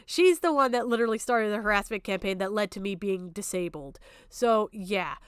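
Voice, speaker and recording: A woman's voice: mid-range voice, normal speed, smooth, dry recording, studio quality. A woman streamer giving heated off-the-cuff commentary, blunt and emphatic, swearing freely and repeating herself to make her point. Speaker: streamer reacting to a recorded stream.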